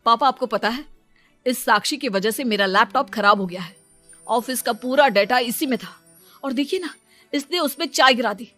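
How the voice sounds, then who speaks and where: A woman's voice: a little high, moderate pace, pushed and bright, faint music behind. Woman doing annoyed young husband in a story cartoon, exasperated, sarcastic, always blaming. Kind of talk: dramatized Hindi moral story for animation.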